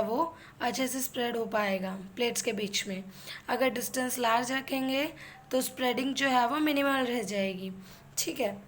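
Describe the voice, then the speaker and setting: A woman's voice: high-pitched, a bit bright, a little hiss or hum. A woman with an Indian accent giving an explanatory lecture as an instructor, mixing two languages and often checking in with the listener. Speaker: physiotherapy instructor.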